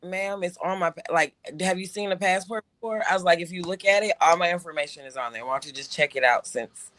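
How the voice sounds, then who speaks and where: Bright-voiced man telling a story. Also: high voice, fast talker, dry recording. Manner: animated storytelling, frequent restarts and fillers. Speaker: show co-host. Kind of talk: casual podcast chat.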